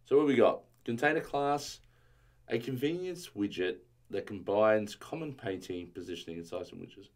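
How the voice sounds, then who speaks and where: A man streaming a casual coding tutorial, thinking aloud and reading documentation, his voice a little strained from yelling. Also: fairly low pitch, lively intonation, normal speed.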